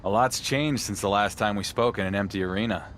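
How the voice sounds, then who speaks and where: Guy playing stubborn underdog wrestler champ in a game, lowish voice, blunt n sardonic, slight noise. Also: brisk, a bit bright, lightly acted. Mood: reflective, dry. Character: defiant underdog male wrestling champion, dry and determined. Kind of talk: video game cutscene acting.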